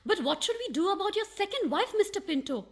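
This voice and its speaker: Actress as high, animated, prim housewife. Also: female voice, fast, heavily acted. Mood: baffled, scandalised. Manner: pointed questioning, theatrical. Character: prim, questioning, easily scandalised well-to-do housewife. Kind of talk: farcical radio play acting.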